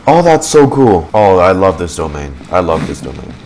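A young man giving casual reaction commentary on a game, halting and full of drawn-out exclamations, on a desk microphone. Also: sits low, sing-song, darkish tone, slight background noise. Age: young adult. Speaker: gaming streamer. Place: small indoor room. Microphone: desk microphone on a boom arm. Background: game music.

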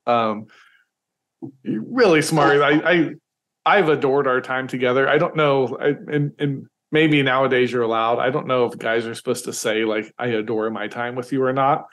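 A man's voice: mid-range voice, moderate pace, a bit bright. A man in his forties hosting a casual podcast, chatty and rambling, correcting himself as he goes. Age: 40s. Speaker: podcast host.

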